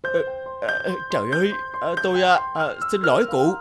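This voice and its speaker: A man's voice: fairly high pitch, normal speed, over loud music, close to an everyday voice. A man voicing a boastful, hapless young repairman in a cartoon, with an exaggerated, theatrical delivery. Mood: flustered. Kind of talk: cartoon dubbing.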